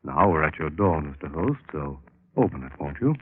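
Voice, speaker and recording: An actor playing a sinister mystery show announcer, theatrical and portentous in 1940s radio style. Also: male voice, rumbling low, big pitch swings, normal speed, heavy background noise. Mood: ominous. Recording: old muffled radio recording.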